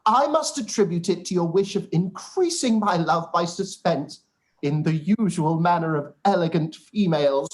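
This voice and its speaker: A man's voice: high-pitched, expressive, moderate pace. An actor playing a pompous, self-satisfied young clergyman, long-winded and formal, in a period radio play. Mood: insistent, affronted. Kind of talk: period drama live-read radio play.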